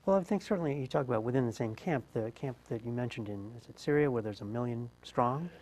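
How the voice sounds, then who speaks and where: A man's voice: mid-range voice, fast talker, a little mellow, a little hiss or hum, rough recording. A man hosting an interview, asking questions conversationally and thinking aloud as he goes. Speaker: interview host.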